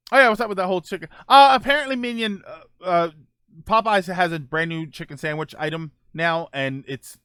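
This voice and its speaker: A man's voice: on the high side, bright, forceful tone, no room sound, studio quality. A middle-aged man hosting a casual live stream, answering viewer questions in a rambling way with many fillers and restarts.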